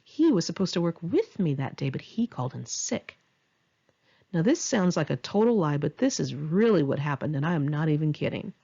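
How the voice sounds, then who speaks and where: A woman's voice: low voice, very animated, normal speed, creaky, mellow, husky. A woman reads her own memoir aloud in a conversational, candid and wry way. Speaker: author reading a memoir chapter. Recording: dull, lo-fi home recording.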